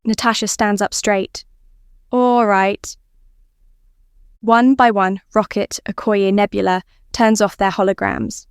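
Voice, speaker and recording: Female story narrator for fan fiction, clear and smooth, mid-pitched, dry close sound. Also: steady tone, normal speed, hardly any pauses, dry recording.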